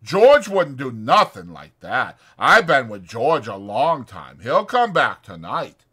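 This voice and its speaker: A man voicing a big, slow-witted, trusting and anxious ranch hand in a dramatized audiobook, talking loudly, simply and with stubborn earnestness. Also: medium pitch, huge pitch swings, slightly rough, voice pushed up, heavily acted. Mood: worried, insistent.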